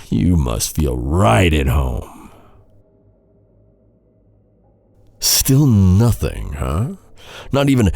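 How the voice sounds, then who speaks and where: An actor playing a bitter, sardonic knight who taunts a royal captive with mock courtesy in a dark fantasy audio roleplay. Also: male voice, low voice, vocal fry, dry recording, full character voice. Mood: uneasy, taunting. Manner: sardonic, mock-courteous, brooding, with sighs and pauses.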